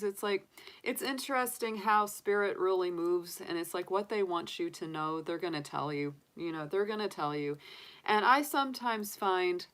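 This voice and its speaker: A woman's voice: fairly low pitch, fairly bright tone. A woman from the United States, casually chatting on a livestream as a tarot reader, rambling with many fillers and restarts. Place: home room with candles and sage.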